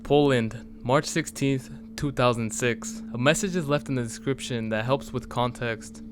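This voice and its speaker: A man giving a measured, serious scripted narration in a storytelling manner, as the narrator of an analysis video. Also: mid-range voice, noisy background. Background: music under the voice.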